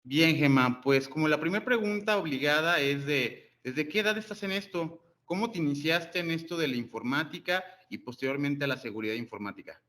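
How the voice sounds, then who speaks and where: A man co-hosting an interview puts his questions to the guest informally. His voice is fairly high and has a sharp, bright edge. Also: lively intonation, fairly quick, hardly any pauses.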